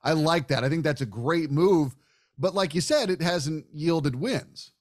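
A man's voice: fairly high pitch, animated, unhurried, darkish tone. A man hosting a sports show, talking conversationally as he gives hockey commentary and puts questions to a guest.

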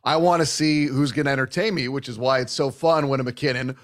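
A man's voice: medium pitch, steady tone, fast. A man hosting a conversational sports interview, speaking with enthusiasm and emphasis. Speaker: sports show host.

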